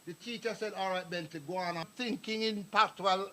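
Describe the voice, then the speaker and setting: This is an older man, talking at a meeting hall. He tells stories, drifts off topic and calls out to people in the audience. His voice sits high, with a faint hiss under it. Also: fairly even intonation, a little fast, sharp tone. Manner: rambling, anecdotal, addresses the crowd directly. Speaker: speaker at a community meeting. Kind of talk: public address to an audience.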